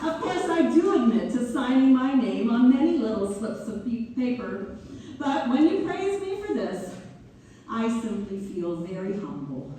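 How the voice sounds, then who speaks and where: A woman playing a gracious, wryly humorous benefactor delivering a measured, playful dedication speech in a staged reading. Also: a little high, very animated, dark tone. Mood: humble, playful. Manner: measured, deliberate, playful oratory. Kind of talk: theatrical read-through of a formal speech. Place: theater hall, live staged reading. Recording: echoey hall from far off, faint noise.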